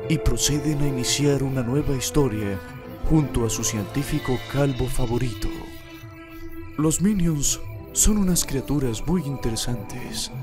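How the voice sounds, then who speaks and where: A man with a Latin American accent narrating a parody nature documentary in a mock-solemn, sometimes crude manner. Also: mid-range voice. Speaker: narrator and fandubber. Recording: music loud behind narration. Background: music.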